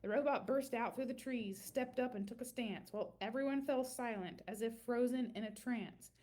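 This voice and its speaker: A woman reading a children's picture book aloud in an expressive storytelling manner, with dramatic emphasis and drawn-out words. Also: fairly high pitch.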